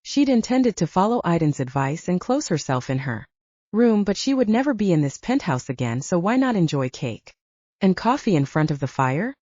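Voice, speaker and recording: Expressive mellow woman narrating fiction. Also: lowish voice, normal speed, no room sound, lo-fi sound. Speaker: audiobook narrator. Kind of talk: scripted narration of a romantic thriller novel.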